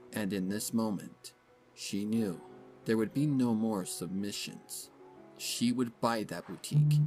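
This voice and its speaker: A man's voice: on the low side, darkish tone. A man reading a story as a dramatic narrator, in scripted prose. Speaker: fan fiction narrator. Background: music.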